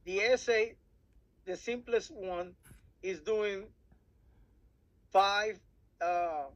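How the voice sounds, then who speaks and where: A man with a Puerto Rican Spanish accent, Spanish his first language, talks cadets through an exam in English. His voice sits high for a man, and he halts and repeats words as he searches for them. The sound is muffled and lo-fi. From Puerto Rico. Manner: halting, many restarts and repeated words. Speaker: cadet program instructor. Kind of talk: workshop briefing.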